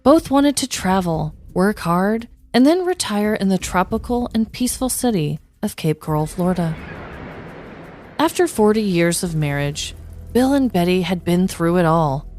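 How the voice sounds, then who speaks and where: A woman's voice: medium pitch, lively intonation, normal speed, a little mellow, soft background music. A woman podcast host telling scripted true-crime stories with dramatic, tongue-in-cheek delivery, like a comedic narrator.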